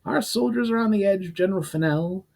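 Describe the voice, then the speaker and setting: Defiant fantasy officer, played by a male reader: raised pitch, forceful, argumentative, husky. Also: fairly quick. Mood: firm. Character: firm, argumentative military officer, respectful but defiant. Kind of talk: dramatic fiction reading.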